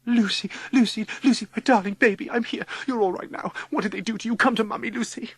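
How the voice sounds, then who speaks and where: Man acting a gushing mother, very high-pitched. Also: fairly even intonation, fast talker, a bit bright, husky, dry recording. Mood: doting, anxious. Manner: gushing, anxious. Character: doting, fussing mother soothing her child.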